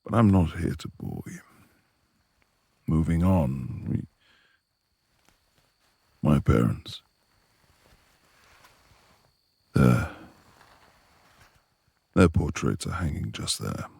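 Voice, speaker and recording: A man playing a brooding, reclusive beast-like lord in a romantic ASMR roleplay, proud and courteous with a quick temper, speaking in formal, old-fashioned phrases with a gravelly, husky voice. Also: rumbling low, lively intonation, warm tone, no room sound, exaggerated voice. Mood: polite, guiding.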